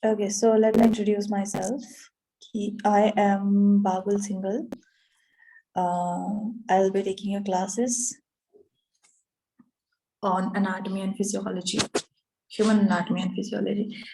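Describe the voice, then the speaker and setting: A woman teaching an online yoga anatomy class, speaking haltingly with many fillers. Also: medium pitch, steady tone, dark tone, low-quality recording. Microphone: video call.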